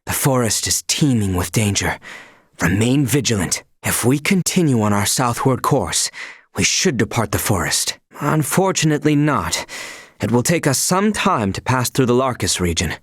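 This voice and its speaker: Formal, expressive courtly attendant. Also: male voice, medium pitch, moderate pace, husky, no room sound, clean studio sound. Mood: composed, earnest. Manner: formal, archaic, courtly diction. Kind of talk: video game character voice lines.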